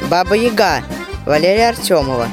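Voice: medium pitch, big pitch swings, a little fast. An announcer reading names from a cast list in a scripted manner. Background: loud instrumental music.

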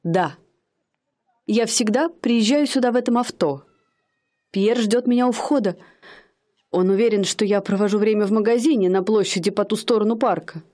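An actress playing a haughty young woman posing as a high-society lady, speaking in an affected, aloof manner in a radio play. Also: moderate pace, pauses often, dark tone, natural voice.

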